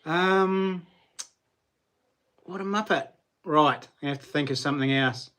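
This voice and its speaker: Chatty New Zealand man, slightly high voice. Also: measured pace, bright, forceful tone, clean tone. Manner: chatty, rambling, laughs often, slangy. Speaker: livestream host. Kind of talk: casual livestream chat with viewers.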